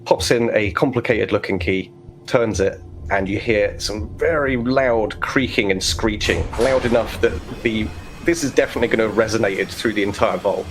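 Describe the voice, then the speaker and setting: Low-voiced man narrating a tabletop game. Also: moderate pace, soft background music. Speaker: game master.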